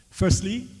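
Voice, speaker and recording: A man's voice: dark tone, long reverb tail. A man from West Africa whose first language is Creole, asking questions and reflecting at a book launch. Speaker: audience member and author.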